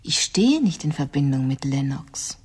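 A woman's voice: a bass voice, big pitch swings, unhurried, darkish tone, slightly rough, exaggerated voice. An actress playing a cool, intense, wealthy woman in a radio crime drama, formal and reserved in her delivery. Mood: reserved, curt.

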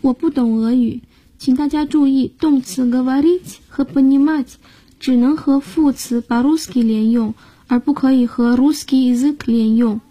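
High-voiced woman teacher with a breathy tone. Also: fairly even intonation, a little slow, dark tone, rough recording. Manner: patient, explanatory, guiding the listener step by step. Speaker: language teacher and host. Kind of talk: radio lesson explanation.

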